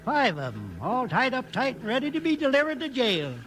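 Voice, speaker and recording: Old western prospector, very high animated voice, folksy, contented, faint hiss. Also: male voice, a little fast, faint music behind, exaggerated voice. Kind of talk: 1950s radio western acting. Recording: faintly hissy old 1950s radio recording.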